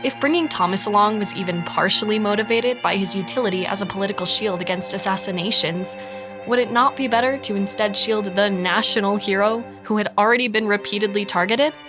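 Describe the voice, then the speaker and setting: A woman playing an analytical historian, giving measured documentary-style narration in an audio drama. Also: medium pitch, over music, lightly acted. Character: analytical female historian weighing the evidence.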